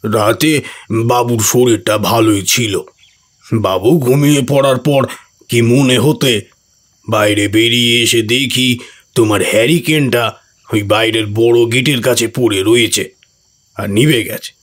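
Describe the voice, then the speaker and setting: A man acting as a worried village manservant in a horror audio drama, speaking gravely and earnestly. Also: medium pitch, steady tone, measured pace, close to an everyday voice. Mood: uneasy recounting. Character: Harihar, worried village manservant recounting an eerie night.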